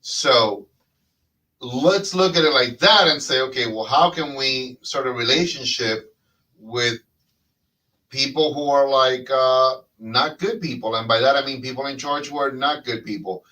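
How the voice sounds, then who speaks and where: A man's voice: medium pitch, a little slow, pushed and bright, distant mic, low-quality recording. A man hosting a casual chat, talking in a rambling way with many fillers and restarts. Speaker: podcast host.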